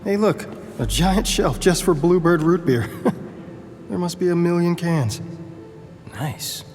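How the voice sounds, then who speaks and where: Easygoing jokey boss officer, lively highish voice, music under it, audio drama. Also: male voice, slow pace, big-room sound, full character voice. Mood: amused. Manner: casual, jokey. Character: breezy, curious commanding male officer, easygoing.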